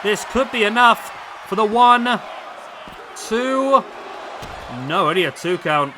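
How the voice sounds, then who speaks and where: A man commentating on a wrestling video game, losing his voice, chatty and excitable as he calls the action. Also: high-pitched, slow. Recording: loud game crowd noise behind. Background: video game crowd and arena sound.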